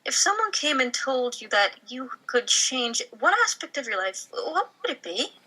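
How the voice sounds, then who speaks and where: A woman playing an earnest young pizzeria worker in a comedy table read, with an expressive delivery. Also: high voice, moderate pace, heavily acted, rough recording. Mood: sincere.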